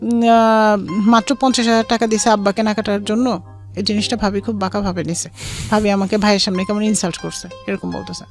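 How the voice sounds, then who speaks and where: A woman's voice: medium pitch, steady tone, fairly quick, darkish tone. A woman with a Bangladeshi accent tells a personal story in an interview, acting out both sides of remembered conversations. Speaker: guest telling life story. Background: background music.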